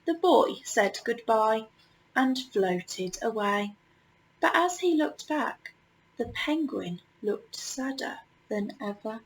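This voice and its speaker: Woman reading a kids picture book, slightly high voice, takes her time w pauses. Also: expressive, slight background noise, rough recording. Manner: measured, with deliberate pauses. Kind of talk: children's story read-aloud.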